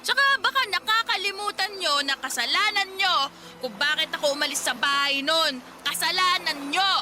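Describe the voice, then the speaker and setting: A shrill, tearful, defiant daughter. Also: female voice, moderate pace, very noisy. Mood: bitter, accusing. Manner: tearful, accusing. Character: hurt, defiant young runaway daughter. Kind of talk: Tagalog radio drama acting.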